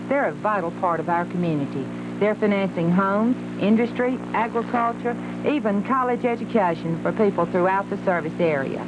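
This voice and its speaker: Muffled radio ad announcer in noise. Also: medium pitch. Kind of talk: scripted bank sponsor ad read. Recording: muffled, noisy old radio tape.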